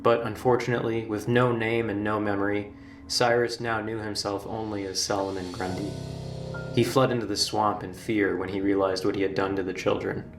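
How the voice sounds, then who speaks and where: Low-voiced male narrator, roomy and distant. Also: little pitch movement, normal speed. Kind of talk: scripted storytelling narration, horror documentary. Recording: roomy, distant playback with music. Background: background music.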